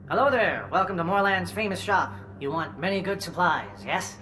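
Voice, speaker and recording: Game alien merchant: high, bright, nervous and fawning, broken phrasing. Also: male voice, animated, soft background music. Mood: ingratiating. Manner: halting broken English, obsequious. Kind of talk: video game character acting.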